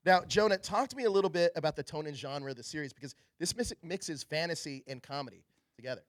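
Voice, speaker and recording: A man's voice: a little high, fast talker, no echo, studio quality. A man hosting a casual entertainment interview, enthusiastic and affirming with his guests.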